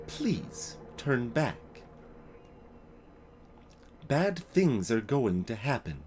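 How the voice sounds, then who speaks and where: A grave, mysterious game figure, acted by a man, speaks slowly and ominously with a pleading edge. The pitch moves a lot, and game music plays clearly behind. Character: grave mysterious game character warning of danger.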